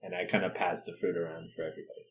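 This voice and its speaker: A man's voice: low-pitched, little pitch movement, very fast. A man playing a tabletop game, chatting casually and speaking for his character in a hesitant, playful way.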